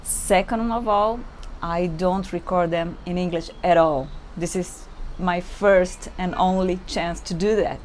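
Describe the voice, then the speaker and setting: A woman's voice: lowish voice, fairly even intonation, a little slow, a little mellow, slight background noise. Brazilian woman, hesitant non-native English. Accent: Brazilian Portuguese. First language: Portuguese. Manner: hesitant, searching for English words, many fillers. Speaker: yoga teacher. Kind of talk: unscripted yoga introduction to camera. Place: indoors at home, seated on a chair.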